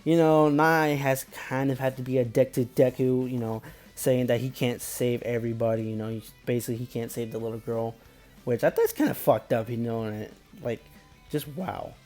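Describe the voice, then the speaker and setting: A man's voice: medium pitch, steady tone, brisk, a little mellow, soft background music. A man giving a casual, unscripted episode recap as an anime reviewer, rambling with repeated filler phrases and stumbling over names.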